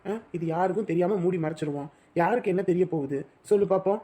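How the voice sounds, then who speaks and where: A young man, as an actor plays him, reassures his partner in quick, forceful bursts. He is brash and protective, scolding her while promising to stand by her. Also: high voice, close to an everyday voice. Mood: reassuring. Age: young adult.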